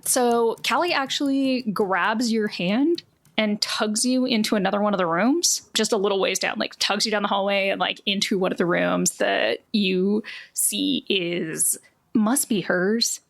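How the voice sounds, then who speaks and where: A woman's voice: mid-range voice, a little slow, vocal fry. A woman runs a tabletop game in a mid-range voice. She improvises scene descriptions conversationally, dropping in casual asides as she goes. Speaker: game master.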